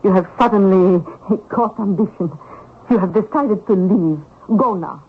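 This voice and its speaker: Husky old peasant mother. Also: medium pitch, a little slow. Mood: stern, anxious. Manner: admonishing, emphatic. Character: fretful, pious old Russian peasant mother. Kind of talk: old-time radio drama acting. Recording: narrow, lo-fi old radio broadcast.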